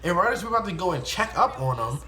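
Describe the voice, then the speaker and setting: A man's voice: high voice, big pitch swings, fast talker, pushed and bright. A young American man telling a story casually to viewers, rambling and slangy, often correcting himself. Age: young adult. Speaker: video storyteller.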